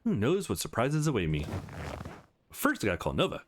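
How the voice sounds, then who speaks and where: A man's voice: medium pitch, wildly swooping pitch, a little fast, slight background noise. A man voicing an eager, slightly unsure young male protagonist of a visual novel game. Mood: upbeat, musing.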